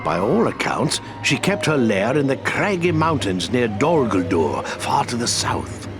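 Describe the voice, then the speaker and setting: An actor playing an eccentric, kindly old wizard who talks to animals, whimsical and rambling in a video game cutscene. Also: male voice, mid-range voice, sing-song, measured pace, music in the background. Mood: grave storytelling.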